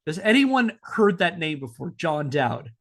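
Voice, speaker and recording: Guy with a pretty high voice doing podcast storytelling, kinda playful and mock serious. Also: sing-song, a little slow, a bit bright. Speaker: podcast host telling a story. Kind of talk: narrated storytelling with casual banter.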